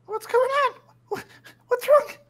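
A woman's voice: very high-pitched, a little slow. A woman voicing a small, eager, apologetic schoolboy in comedy dialogue, pleading and rambling in a childlike way.

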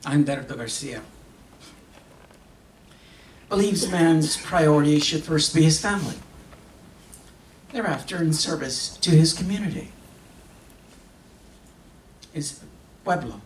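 An older man performing a dramatic reading on stage in a solemn, theatrical manner with long pauses. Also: on the high side, expressive. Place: stage in an auditorium. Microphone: stand microphone heard from a distant camera. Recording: echoey hall, distant camera, faint noise.

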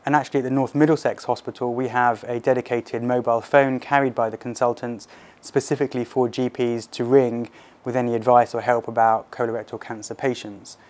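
A British man in his thirties speaking as a consultant surgeon, explaining things to doctors in a measured, clinical interview manner. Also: medium pitch, level tone, darkish tone.

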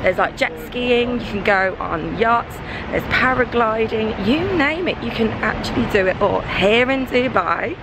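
A woman in her thirties with a slightly husky, sore-throated voice, chatting casually to camera as a travel vlogger. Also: a little high, animated, a little slow, background noise. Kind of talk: casual vlog chat to camera. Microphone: camera held at arm's length. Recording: music laid behind the voice.

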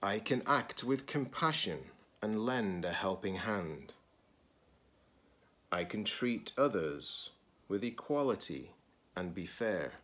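A middle-aged man, a teacher-librarian, reading a children's picture book aloud deliberately, in short phrases with pauses. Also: lowish voice, expressive, fairly bright tone.

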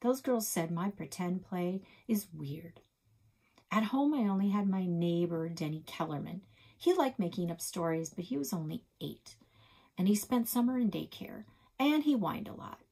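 A middle-aged woman reads a children's chapter book aloud, calm and steady, slipping now and then into soft, breathy passages. Her voice is husky and sits slightly low. She records on a desk webcam in a small office. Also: normal speed. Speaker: librarian reading aloud.

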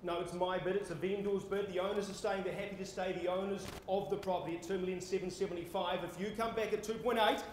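A man's voice: high voice, fairly even intonation, fast, hardly any pauses. A man in his forties with an Australian accent, calling a live property auction in a theatrical, projecting, courteous manner. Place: on stage in a large indoor conference hall. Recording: echoey conference hall with noise.

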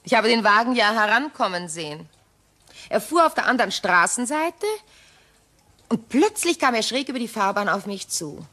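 An actress playing a shaken, wistful ageing widow in a radio crime drama, with halting, pause-filled delivery. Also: female voice, a little high, big pitch swings, sharp tone, full character voice. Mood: agitated recounting.

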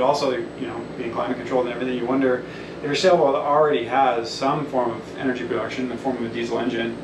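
A young man who installs marine electrical systems, explaining the setup on a boat in a technical, rambling way with many ums and restarts. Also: mid-range voice. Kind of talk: technical walkthrough interview. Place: small wooden boat cabin and engine room. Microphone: camera a few feet away. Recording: echoey cabin, distant mic, air conditioning hum.

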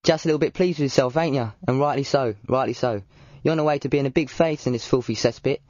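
An actor playing a cocky, ambitious gangster in a video game, with a London accent. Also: male voice, medium pitch, darkish tone, no echo, close to an everyday voice. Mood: smug, scheming. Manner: cocky, brisk. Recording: dull, dry sound.

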